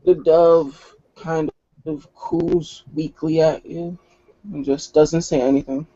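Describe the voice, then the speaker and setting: Male game master, relaxed soft-edged tone, improvised tabletop narration, lo-fi sound. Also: on the high side, takes it slowly. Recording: dull, lo-fi group session recording.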